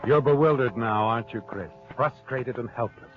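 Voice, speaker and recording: A mid-pitched male narrator played by an actor from an old radio noir, knowing and taunting as he addresses the character directly. His delivery is dramatic and insinuating. Music plays behind him, and the recording is thin and tinny. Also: moderate pace, lightly acted. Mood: knowing, ominous. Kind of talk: 1940s radio noir narration in second person.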